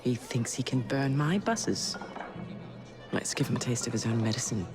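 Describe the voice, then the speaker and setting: A woman's voice: rumbling low, expressive, normal speed, over music. An English actress playing a shrewd, elegant Victorian businesswoman in a video game, speaking in a formal, arch, self-assured way. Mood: scheming.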